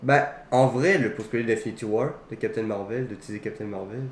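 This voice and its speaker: A man's voice: fairly low pitch, a little fast. Man chatting in an echoey room. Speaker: co-host of a film talk show. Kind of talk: casual chat about films. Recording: roomy echo, faint background noise.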